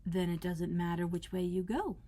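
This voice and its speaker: A woman voicing a calm, grinning, slyly mad cat in a storybook read-aloud, with a slow, teasing, drawn-out delivery. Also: lowish voice, lightly acted. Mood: unhurried.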